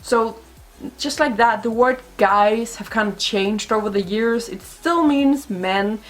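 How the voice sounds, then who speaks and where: A woman's voice: on the high side, takes it slowly, poor audio. A young woman with a Danish accent, a native Danish speaker, chatting bluntly and casually to camera in a vlog, swearing freely and laughing often. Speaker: vlogger.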